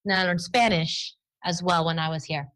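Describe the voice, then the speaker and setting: A woman's voice: lowish voice, a little fast, background noise. A woman in her thirties, born in Romania with Romanian as her first language, telling her family story in a chatty conversational interview. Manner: chatty, storytelling, laughs easily. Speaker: guest.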